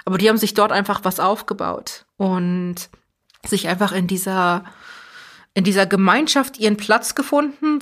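A young woman speaks as a guest in a reflective conversation. Her voice sits in a middle pitch, and her delivery is earnest and thoughtful. She sometimes restarts a phrase mid-sentence while she works out her point. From Germany. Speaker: interview guest.

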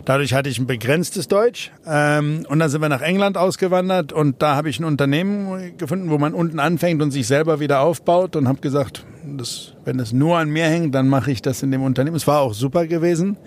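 A man's voice: a little high, brisk, few pauses. A man from South Africa, an entrepreneur guest in an interview, talking casually with many fillers and restarts.

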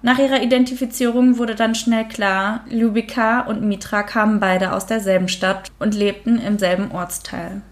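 A woman's voice: medium pitch, little pitch movement, normal speed, few pauses. German woman hosting a true crime podcast. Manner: casual, many fillers, reads case notes aloud. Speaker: podcast host.

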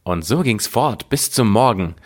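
A man narrating a scripted fairy tale as a storyteller, in a measured, deliberate way. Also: on the low side, expressive.

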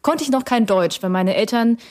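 A woman in her thirties who grew up in Germany, answering an interview question in a reflective way, pausing often with fillers and restarting her sentences. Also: fairly high pitch, lively intonation, darkish tone. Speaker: guest.

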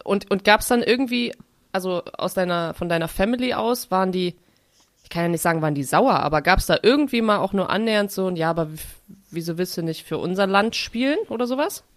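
A woman's voice: mid-range voice, expressive, fast talker, no room sound. A woman hosting a casual podcast chat and interviewing a guest in an informal way.

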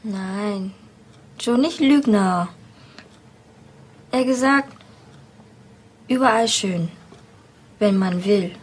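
An actress playing an earnest, hopeful Thai widow in a film drama, speaking halting broken sentences with a husky voice. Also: female voice, medium pitch. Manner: halting broken German, simple phrases.

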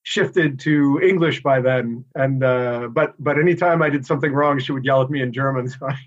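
A middle-aged American man talks through his work in a relaxed, thoughtful way, pausing often with small fillers. His voice sits at a middle pitch. The audio is a little dull, as over a remote call. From United States. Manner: relaxed, thoughtful, many "uh" pauses. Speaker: inventor and guest. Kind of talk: casual interview chat.

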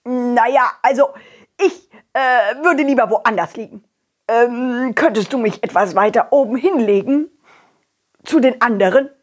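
A woman's voice: fairly high pitch, measured pace. A woman voicing a grumpy, boastful big male boulder in a children's audio story, blustering and whiny. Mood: sheepish.

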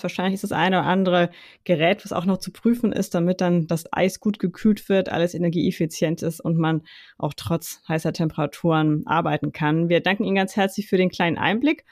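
A woman's voice: on the low side, darkish tone, no echo, studio quality. A woman from Hamburg co-hosting a podcast, asking a guest friendly questions. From Hamburg, Germany. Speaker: podcast co-host. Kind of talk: interviewing.

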